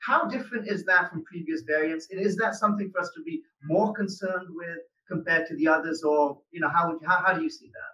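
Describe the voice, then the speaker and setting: A man's voice: on the high side, brisk, hardly any pauses, sharp tone, far from the mic, a little hiss or hum, rough recording. A man hosting an interview as a newspaper editor, talking conversationally with many hedges and fillers.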